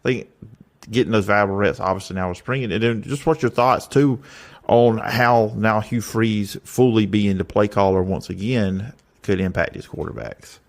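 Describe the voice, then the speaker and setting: A man's voice: on the low side, fairly even intonation, normal speed. A man hosting a casual sports interview, asking questions in a conversational way. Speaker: podcast host.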